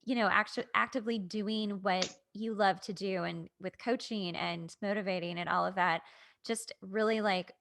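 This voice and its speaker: Creaky-voiced woman chatting casually. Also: medium pitch, fairly even intonation, moderate pace, fairly bright tone. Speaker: podcast host and aspiring coach. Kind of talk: casual chat.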